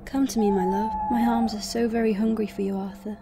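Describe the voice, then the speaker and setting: An actress playing a hungry undead temptress in a radio drama, sultry, beckoning and theatrical. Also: female voice, on the high side, flat delivery, fairly quick, gravelly, noisy background. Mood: seductive, beckoning. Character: seductive, hungry undead female vampire.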